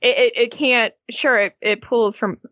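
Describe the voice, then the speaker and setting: A woman's voice: fairly high pitch, steady tone, normal speed, a bit bright, no room sound. A woman guesting on a casual podcast, speaking hesitantly and tentatively over lo-fi audio. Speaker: podcast guest, visual artist. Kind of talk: casual panel discussion. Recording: dull lo-fi close mic.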